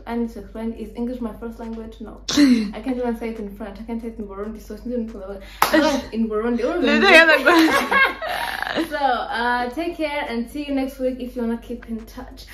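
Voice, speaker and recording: High-voiced playful young woman vlogging. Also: normal speed, a bit bright. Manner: playful, laughs often, mixes languages. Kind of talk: casual vlog chat. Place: outdoors on a farm.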